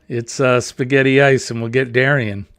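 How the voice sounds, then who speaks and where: A man hosting a relaxed online chat, reading out viewer comments between laughs. His voice has a gravelly, creaky edge and sits close and dry against the mic. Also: mid-range voice, normal speed, no room sound, faint music behind. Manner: chatty, reads viewer comments aloud with laughs. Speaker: host. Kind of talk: casual livestream chat.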